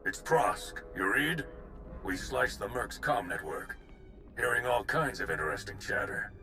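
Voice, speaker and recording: A man voicing a brisk, dependable soldier in a video game, in clipped military radio talk. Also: medium pitch, very animated. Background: game music.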